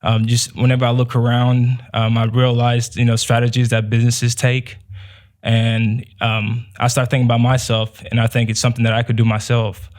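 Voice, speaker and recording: A young man from Nigeria, answering as a guest in a relaxed way with many fillers. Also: mid-range voice, normal speed. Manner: relaxed, many "um" and "you know". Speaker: guest, business owner. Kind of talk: casual interview answers.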